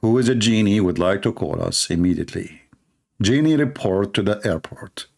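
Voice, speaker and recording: A man's voice: fairly low pitch, lively intonation, moderate pace, gravelly. A man hosting a call-in debate show, talking in a sarcastic, rambling way. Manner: sarcastic, rambling, laughs at own jokes. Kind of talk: mocking call-in commentary.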